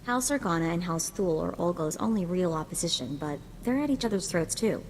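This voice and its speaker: An actress playing a chatty, cheerful young sidekick in a video game, talking in a casual, breezy way. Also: female voice, fairly low pitch, animated, fairly quick, slight background noise. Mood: briefing, casual. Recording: background music under the voice.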